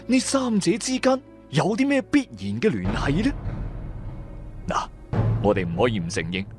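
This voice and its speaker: A man with a Cantonese accent narrating a supernatural radio drama in the first person, dramatic and colloquial. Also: mid-range voice.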